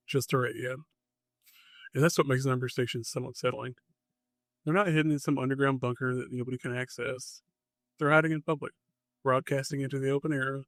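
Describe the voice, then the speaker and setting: A man with a mid-pitched voice narrates like a podcast host telling an eerie story. His phrasing is short and suspenseful, with a deadpan streak. The sound is very dry, with no room around it. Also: dry recording.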